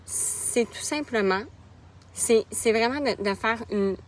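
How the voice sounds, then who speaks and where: A woman's voice: fairly high pitch, slow. A woman in her thirties with a Québécois French accent, a nutrition coach talking casually in a live stream and greeting viewers by name as she goes. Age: 30s.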